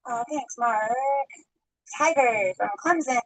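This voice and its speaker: Woman livestreamer with a bright, high voice, loose and rambling, recorded close and dry. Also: slow pace, dry recording, poor audio. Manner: chatty, greets viewers by name. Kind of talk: casual livestream chat. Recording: sped-up archived livestream audio.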